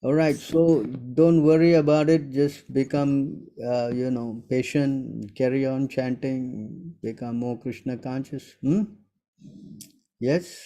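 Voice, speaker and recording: A man in his sixties with a mellow, soft-edged voice at an everyday middle pitch. He gives informal spiritual guidance over an online call and keeps pausing to ask whether his listeners follow. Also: fairly even intonation, poor audio. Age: 60s. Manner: keeps checking if listeners understand. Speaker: spiritual teacher answering questions. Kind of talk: informal devotional Q&A.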